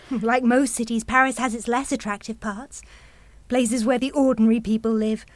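A woman's voice: a little high, steady tone, moderate pace, no echo, close to an everyday voice. An actress playing a polite, guarded young mother from Paris in a radio play, speaking courteously and tentatively. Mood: friendly, polite.

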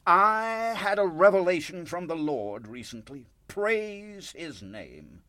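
A man acts out an older small-town believer in a radio drama, nervous and full of zeal. His pitch is very animated, sliding up and down as he rambles in folksy, pious phrases. The sound is dry, with little room around it. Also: slow pace, dry recording, exaggerated voice. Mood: fervent. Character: earnest, folksy older born-again businessman, nervous and zealous. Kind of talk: radio play acting.

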